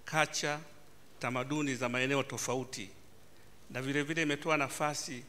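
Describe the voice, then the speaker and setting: A man from Tanzania, answering on a formal panel in a halting manner with formal greetings. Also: mid-range voice, bright, forceful tone, slight background noise. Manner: halting, many fillers and restarts, formal address. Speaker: panelist, government official.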